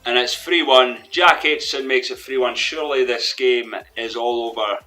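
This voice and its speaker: A young man commentating his own football management game in a rambling, hesitant way, his voice a little hoarse from losing it. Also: mid-range voice, steady tone, normal speed, bright, forceful tone. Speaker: gaming commentator. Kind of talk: let's play commentary. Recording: faint background music.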